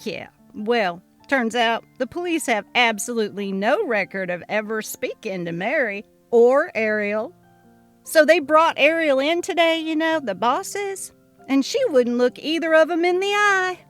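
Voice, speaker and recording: A woman's voice: high voice, animated, unhurried, a bit bright, faint music behind, pitched above the performer's own voice. A woman voicing a sassy, emphatic female coworker who loves gossip, in a dramatic story reading. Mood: gleeful, gossipy.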